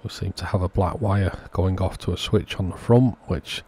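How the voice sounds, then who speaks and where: A man with a very deep, husky voice and a soft, dark tone talks through a hands-on repair. He keeps it understated and matter-of-fact, explaining each step as a channel host would. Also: normal speed. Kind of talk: hands-on repair walkthrough narration.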